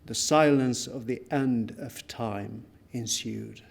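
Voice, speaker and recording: A man giving a dramatic literary reading of a first-person account, solemn and deliberate, with weighty pauses. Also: mid-range voice, vocal fry, husky. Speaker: reader at a book launch.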